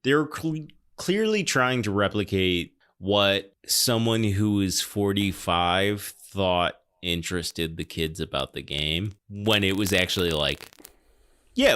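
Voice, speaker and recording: A man's voice: low-pitched, fairly bright tone, clear, resonant tone. A man hosting a podcast, reviewing films in a casual, sardonic and critical way. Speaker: podcast host. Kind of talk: casual film review chat.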